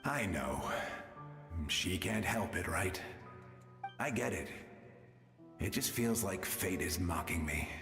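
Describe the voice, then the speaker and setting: A man playing the gentle, resigned ghost of a selfless warrior in a video game, wistful, with ghostly reverb over music. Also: low-pitched, animated, takes it slowly, gravelly, pauses often, exaggerated voice. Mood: sorrowful. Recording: long hall reverb under prominent music.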